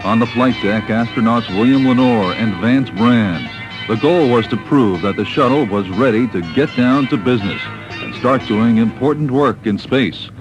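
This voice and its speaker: A man's voice: medium pitch, normal speed, lo-fi sound. A man with an American accent reads scripted documentary narration, recorded on a 1980s cassette tape. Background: background music.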